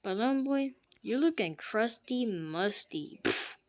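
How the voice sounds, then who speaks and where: Unhurried woman joking through gameplay. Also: a little high, expressive. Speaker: gamer. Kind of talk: gameplay commentary.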